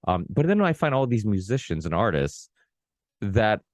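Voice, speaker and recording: A man's voice: on the low side, sing-song, moderate pace, dry recording, clean studio sound. A Portuguese-born man of about forty, a native Portuguese speaker, hosting a casual podcast conversation and rambling with many restarts. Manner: rambling, full of restarts and "you know, like". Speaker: podcast host. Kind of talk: casual long-form conversation.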